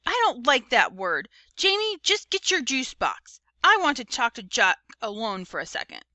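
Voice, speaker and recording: A woman's voice: fairly high pitch, sing-song, a little fast, bright, forceful tone, no room sound, heavily acted. A woman voicing a stern mother of young boys, earnest and reproachful, in an emphatic storybook reading. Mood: exasperated.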